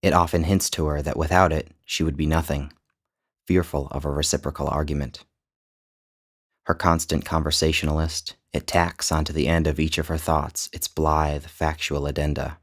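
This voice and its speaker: A man narrating his own literary short story in a measured, descriptive storytelling manner. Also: a bass voice, flat delivery. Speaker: author and narrator.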